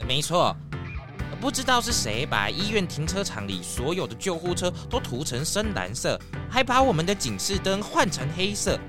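A man's voice: high voice, sing-song, moderate pace. A polite, professional paramedic acted by a man in a children's radio play. His mid voice moves animatedly over prominent music. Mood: apologetic. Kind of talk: children's audio drama acting.

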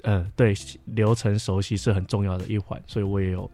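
A man's voice: lowish voice, fairly even intonation, a little fast, dark tone, faint music behind. A young adult man with a Taiwanese Mandarin accent, chatting casually as a podcast speaker. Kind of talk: casual chat.

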